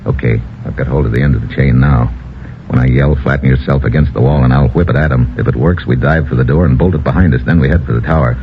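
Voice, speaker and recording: An actor playing a tough American adventure hero in old-time radio style, with clipped, slangy, wisecracking delivery and an American accent. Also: male voice, very deep voice, fairly even intonation, fast. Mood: urgent, hushed. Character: tough, quick-thinking American hero, wry and commanding. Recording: noisy, muffled old radio recording.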